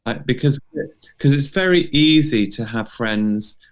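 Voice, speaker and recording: A man's voice: mid-range voice, lively intonation, unhurried. A man co-hosting a podcast, talking in a casual, musing way. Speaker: podcast co-host. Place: remote video chat recording.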